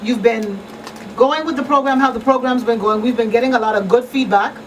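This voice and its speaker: A woman's voice: on the high side, brisk. A woman hosting a live radio talk show in a casual style from a small studio. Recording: slightly distant, noisy room sound.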